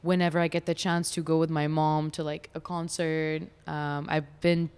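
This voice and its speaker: A woman in her twenties, raised in France and Dubai, guest on a chat podcast, talking reflectively and often pausing to search for words. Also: low voice, little pitch movement, darkish tone, clean tone, in a large hall. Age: 20s. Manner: reflective, searching, many fillers.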